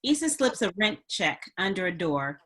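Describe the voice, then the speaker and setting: A woman's voice: mid-range voice, big pitch swings, normal speed, fairly bright tone. A woman reading screenplay stage directions as a scripted narrator.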